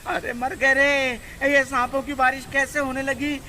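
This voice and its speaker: A man's voice: very high-pitched, level tone, normal speed, sharp tone, exaggerated voice. A man playing a frightened, wailing smuggler merchant in a cartoon moral story. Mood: panicked. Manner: wailing, pleading. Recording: very noisy background.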